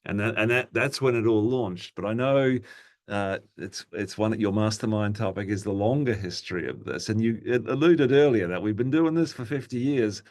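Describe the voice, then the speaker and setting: A man's voice: lowish voice, moderate pace, a little mellow. A man moderating an informal panel discussion, introducing questions and prompting speakers conversationally.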